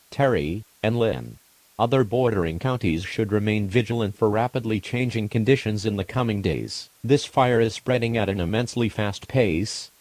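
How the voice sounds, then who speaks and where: A low-voiced, official emergency broadcast announcer, played by a man. He reads scripted warnings in a measured, formal, impersonal manner. The sound is dry and close. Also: a little mellow, no echo, natural voice. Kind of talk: scripted emergency alert narration.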